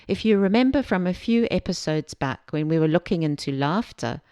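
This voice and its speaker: Low-voiced woman, close and dry. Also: animated, a little fast, dark tone, clean tone, no echo. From Zambia. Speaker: podcast host and coach. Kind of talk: scripted solo self-help narration.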